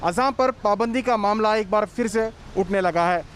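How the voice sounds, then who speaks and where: High-pitched male Urdu newsreader. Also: moderate pace, a little hiss or hum. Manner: formal Urdu news reading. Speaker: news anchor. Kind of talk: scripted news voice-over. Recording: voice-over with music bed.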